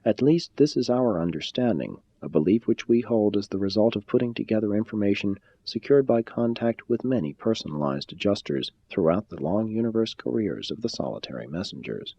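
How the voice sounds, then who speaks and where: A man with a low, mellow voice reads formal spiritual prose like an audiobook narrator. His delivery is measured and solemn, with a slightly husky grain. The recording sounds a little dull. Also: sing-song, no room sound. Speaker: audiobook-style reader. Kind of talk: formal scripted reading of a spiritual text.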